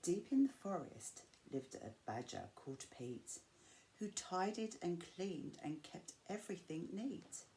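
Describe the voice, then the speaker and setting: A woman reads a rhyming children's picture book aloud. Her voice sits a little low and she goes slowly, drawing out key words with expressive phrasing. There is noticeable noise behind her. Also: rough recording. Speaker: storyteller reading to school pupils. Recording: clear background noise.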